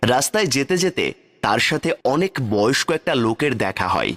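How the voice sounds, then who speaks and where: A man narrating a cartoon fairy tale in steady, plain scripted storytelling. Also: medium pitch, moderate pace. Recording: faint background music. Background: faint music.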